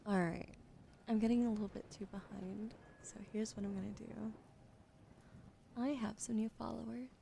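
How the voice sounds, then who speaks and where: A woman streaming ASMR, whispering softly to her chat and slowly spelling out follower names, now and then swearing. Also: mid-range voice, steady tone, vocal fry, slight background noise. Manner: slow and gentle, swears and laughs in between. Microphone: studio mic.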